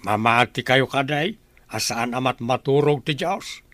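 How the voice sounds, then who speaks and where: A man's voice: mid-range voice, unhurried, fairly bright tone, no echo, close to an everyday voice. A man playing a pious villager who admonishes others earnestly with rhetorical questions in an Ilocano drama. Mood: earnest, admonishing. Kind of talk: Ilocano radio-style drama acting.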